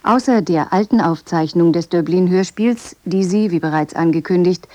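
A woman presenting a scripted radio introduction in a measured, formal manner. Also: fairly low pitch, a little mellow, no echo.

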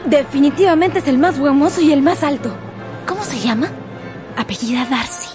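A high, girlish voice, an actress's, plays a pert, bold young daughter. She is emphatic, in radio-drama style, with long reverb and music behind. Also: moderate pace, natural voice. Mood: excited.

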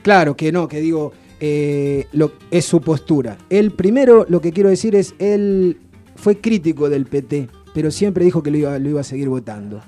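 A man talks on a radio show in a loose, rambling way, restarting his sentences as he goes. His voice is deep-toned and very dark, a little higher than most men's. Soft music plays faintly behind him. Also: moderate pace. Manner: rambling, chatty storyteller with many restarts. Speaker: radio guest presenting authors. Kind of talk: casual radio chat.

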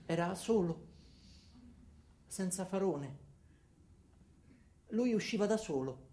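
An actor playing Alfredo, an ordinary weary man confiding his story haltingly in a theatrical radio monologue. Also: high-pitched, lively intonation, dark tone, slight background noise, heavily acted. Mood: reflective, weary. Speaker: monologue narrator. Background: music.

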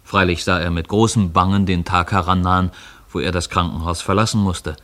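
Man, low voice, radio play narrator, literary prose. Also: fairly even intonation, a little fast, a bit bright.

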